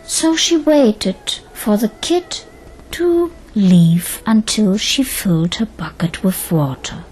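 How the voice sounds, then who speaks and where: A woman narrating a scripted audio drama in a measured, dramatic storytelling manner. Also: medium pitch, expressive, a little mellow, soft background music, rough recording.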